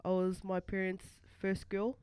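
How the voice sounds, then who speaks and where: A woman in her twenties, born in New Zealand and raised in Australia, telling her life story as a podcast guest in a relaxed, halting way with many fillers. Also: medium pitch, smooth, no room sound. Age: 20s. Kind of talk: casual chat.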